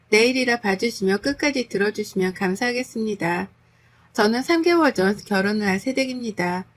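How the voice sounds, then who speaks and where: A woman reading a submitted first-person story aloud as scripted narration, with a calm, steady, confessional delivery. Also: medium pitch.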